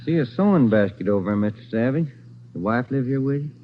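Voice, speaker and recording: An actor playing a casual, observant police detective partner in a 1940s radio drama, plain-spoken and inquisitive. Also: male voice, medium pitch, lively intonation, a little fast, natural voice. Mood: probing. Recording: very noisy, muffled 1940s radio recording.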